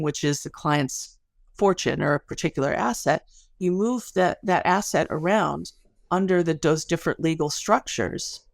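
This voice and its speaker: A woman's voice: fairly low pitch, gravelly, dry recording, clean studio sound. A middle-aged woman speaking as an academic guest in a podcast interview, explaining things articulately through stories and analogies.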